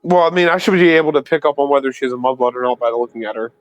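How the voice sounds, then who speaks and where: A man's voice: mid-range voice, rapid-fire, no room sound, poor audio. A man playing a tabletop game, chatting casually. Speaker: player.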